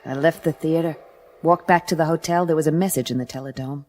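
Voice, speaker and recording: A woman's voice: low-pitched, fairly even intonation, fast, darkish tone. A woman playing a tough, sardonic female private eye in a noir radio drama, speaking in a dry, unimpressed, hardboiled way. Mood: cool, wry. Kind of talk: first-person noir radio drama narration and dialogue. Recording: music under the voice. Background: music.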